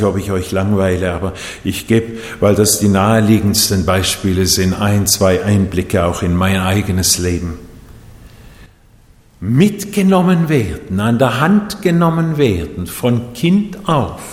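A man from Japan preaching a sermon in a measured way, his voice rising and falling for emphasis. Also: lowish voice, lively intonation. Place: church hall. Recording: echoey hall, voice set back.

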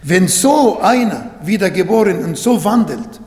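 A man preaching a sermon in German that is not his first language, slowly and emphatically, repeating words and restarting phrases. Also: sits high, lively intonation, a little mellow. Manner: halting non-native German, repeats phrases, slow and emphatic. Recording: echoey hall with long reverb.

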